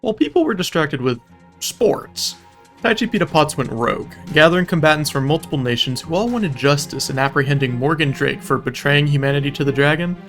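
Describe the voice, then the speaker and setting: A man's voice: fairly high pitch, animated, fairly quick, a bit bright, faint music behind. A man narrating a scripted comedic recap in a wry, informal, mock-epic storytelling way. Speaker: video narrator.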